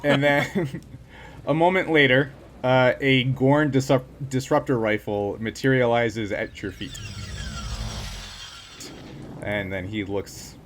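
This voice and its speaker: A man casually narrating a tabletop role-playing game as game master, improvising haltingly as he goes. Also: medium pitch, fairly even intonation, fairly bright tone, a little hiss or hum.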